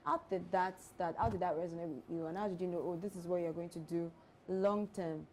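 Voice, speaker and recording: A woman co-hosting a TV interview, asking thoughtful questions in a soft manner. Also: on the low side, fairly even intonation, a little fast, slight background noise. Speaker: TV show co-host. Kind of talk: studio interview. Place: TV studio.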